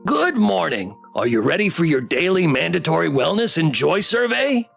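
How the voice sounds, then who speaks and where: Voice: mid-range voice, big pitch swings, normal speed, gravelly, fairly bright tone, soft background music, full character voice. A game streamer voicing a small, manic, rebellious living plush toy with sassy, emphatic delivery. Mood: gleeful defiance. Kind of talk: reading game character dialogue aloud.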